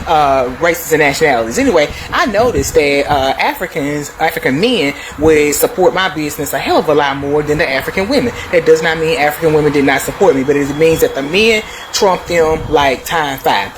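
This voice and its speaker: A woman's voice: deep voice, lively intonation, a little fast, hardly any pauses. An American woman hosting a video, casually sharing stories and opinions in an emphatic, digressive way. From United States. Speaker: video host. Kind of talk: casual storytelling and opinion to viewers. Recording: clear background noise.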